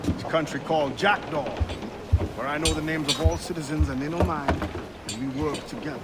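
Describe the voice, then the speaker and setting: Dignified sailor, played by a man in loud noise. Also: on the high side, brisk, close to an everyday voice. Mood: thoughtful, proud. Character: dignified male quartermaster, born a slave, thoughtful and firm. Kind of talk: video game cutscene acting.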